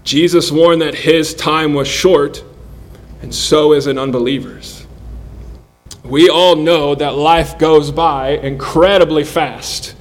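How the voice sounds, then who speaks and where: Slow, earnest man in his forties preaching. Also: on the high side. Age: 40s. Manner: earnest, measured delivery with pauses. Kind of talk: sermon.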